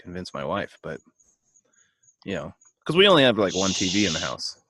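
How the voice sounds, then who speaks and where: A man's voice: low voice, wildly swooping pitch, a little fast, vocal fry, a bit bright, slightly rough, dry recording. A man co-hosting a beer podcast, chatting casually and joking with lots of fillers. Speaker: podcast co-host. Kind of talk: casual chat.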